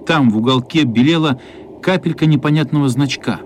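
A man's voice: mid-range voice, a little fast, slight background noise. A man reading literary narration as the storyteller of a children's radio play.

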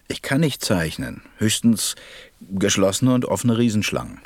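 A man's voice: fairly low pitch, very animated, normal speed, no room sound. A man narrating and acting in an audio drama as a tender, melancholy stranded pilot. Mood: mildly defensive.

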